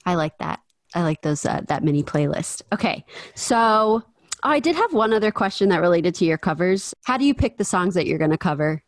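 Young woman podcast host, somewhat low voice, dry close sound, teasing and quick to laugh. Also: expressive, dry recording. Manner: playful, teasing, laughs easily. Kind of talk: casual interview chat.

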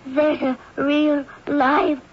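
A timid, frightened woman speaks in a high voice, slowly and breathlessly. The old radio recording is dull and carries a faint hiss. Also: level tone. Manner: breathless, fearful. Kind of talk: 1970s radio drama acting.

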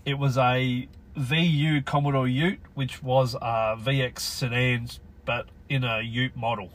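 A man's voice: medium pitch, flat delivery, a little slow, fairly bright tone, poor audio. An Australian man as a podcast guest, talking bluntly with a dry, sardonic humor. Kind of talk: casual conversation.

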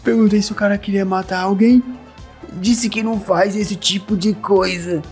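A man's voice: high-pitched, steady tone, normal speed, darkish tone, soft background music. A man playing an arrogant, irritable, foul-mouthed shadowrunner fixer in an audio drama, confrontational and scornful.

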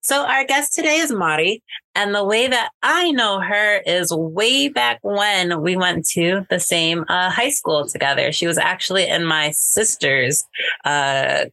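A woman's voice: deep voice, bright, forceful tone, dry recording. A woman co-hosting a podcast, asking a guest friendly questions in a casual chat with some fillers. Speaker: podcast co-host.

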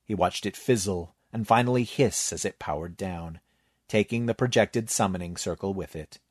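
Man with a steady, dry reading voice. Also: low-pitched, normal speed, no room sound. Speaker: story reader. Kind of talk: scripted narration.

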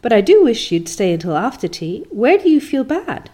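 A woman's voice: big pitch swings, moderate pace, mellow. A woman voicing a dramatic, tearful young orphan girl who wails and sobs theatrically. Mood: pleading. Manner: wailing, theatrical, high and sobbing.

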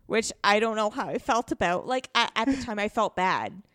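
A woman's voice: mid-range voice, lively intonation, normal speed, a bit bright, no room sound. Woman chatting casually, close dry sound. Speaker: podcast guest. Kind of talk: casual game discussion.

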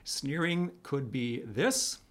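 A man with a raspy voice, casually teaching a gospel passage as a pastor, with chuckling asides. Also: mid-range voice, lively intonation, slow.

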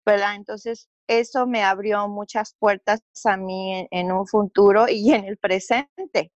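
A woman's voice: mid-range voice, fairly even intonation, unhurried, no room sound, muffled recording. A middle-aged woman from Mexico with a Mexican Spanish accent, telling her story earnestly as an interview guest, with fillers and restarts.

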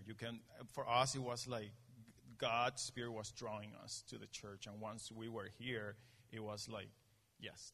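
A man from Venezuela with a Venezuelan Spanish accent, Spanish his first language, preaching a sermon in an earnest, halting way and often restarting his sentences. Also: on the low side. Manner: earnest, halting, frequent restarts, grows animated. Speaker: guest preacher. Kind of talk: sermon with personal story. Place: church meeting in a gym. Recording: long reverb of a gym.

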